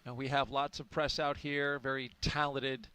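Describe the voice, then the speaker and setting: A man's voice: medium pitch, level tone, moderate pace, pushed and bright, clear, resonant tone, no echo, low-quality recording. A man giving live launch commentary in a chatty, enthusiastic way, with frequent fillers.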